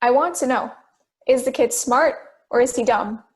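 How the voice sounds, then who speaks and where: Persistent juror, acted by a woman, high voice, logical, pointed questioner, amateur audio drama. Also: normal speed, a little mellow, lightly acted. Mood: reasoning. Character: persistent, logical juror who challenges the others.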